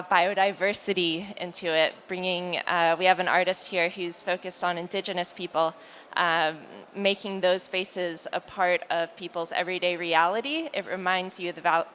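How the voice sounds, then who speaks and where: A woman's voice: lowish voice, fairly bright tone, slight background noise. A woman panelist and editor speaking at a conference, rambling a little with many fillers.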